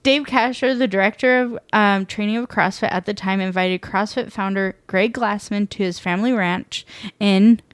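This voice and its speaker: A woman's voice: mid-range voice, fairly even intonation, normal speed, clear, resonant tone, dry recording. A woman in her thirties co-hosting a podcast, chatting casually with lots of fillers and giggles. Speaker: podcast co-host. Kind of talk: casual chat.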